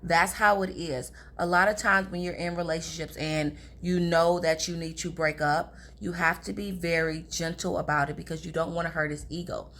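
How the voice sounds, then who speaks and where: A young woman with a raspy, hoarse voice, chatting casually to her viewers about relationships. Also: lowish voice, steady tone, moderate pace, few pauses, a bit bright. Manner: chatty, confiding, addresses viewers as girls. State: hoarse from talking all day. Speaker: relationship advice vlogger. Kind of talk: casual advice talk to camera.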